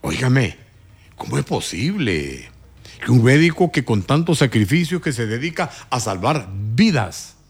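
A man hosting a morning radio talk show, commenting with indignant emphasis and sudden rises in pitch. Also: sing-song, unhurried, breathy edge.

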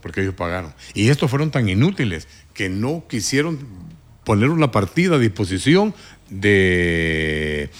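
A man hosting a morning radio talk show, commenting with indignant emphasis and sudden rises in pitch. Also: very animated, normal speed.

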